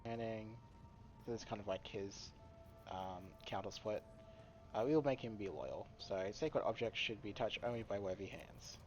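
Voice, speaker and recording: A man's voice: fairly low pitch, moderate pace, faint music behind. A man hosting a let's play, commenting casually and reading dialogue options aloud. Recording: slightly dull mic with faint noise.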